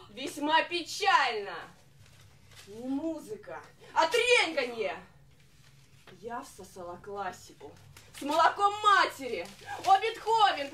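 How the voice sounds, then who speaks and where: A woman's voice: sits high, pushed and bright, slight background noise, heavily acted. A young actress playing a vain, melodramatic lady musician on stage with histrionic, declamatory delivery, recorded from far off. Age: young adult. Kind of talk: theatrical stage acting. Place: theatre stage, indoors.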